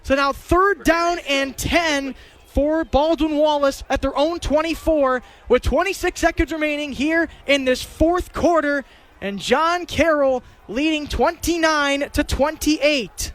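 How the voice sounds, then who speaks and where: A man's voice: very high voice, measured pace, a bit bright. A young man doing live radio play-by-play of a football game in vivid, clipped, detailed phrases. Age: young adult. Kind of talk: live play-by-play sports commentary.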